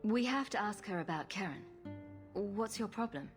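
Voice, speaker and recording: An actress playing a blunt, commanding heroine in a video game, with curt, stern delivery. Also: female voice, medium pitch, lively intonation, moderate pace, close to an everyday voice. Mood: stern, impatient. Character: stern, blunt female hero investigating missing recruits. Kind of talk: dramatic video game dialogue. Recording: music behind the voice.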